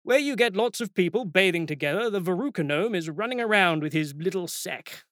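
A man's voice: high-pitched, expressive, a little fast, fairly bright tone, dry recording, studio quality, pitched above the performer's own voice. A man doing a blustering bossy head wizard. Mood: grumbling. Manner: gruff, insistent, commanding.

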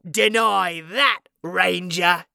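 A woman's voice: on the low side, very slow, creaky, bright, forceful tone, no room sound, clean studio sound, heavily acted. A woman voicing a sarcastic, foul-mouthed male wizard in a fantasy audiobook, mocking and theatrical in delivery. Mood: mocking, accusing.

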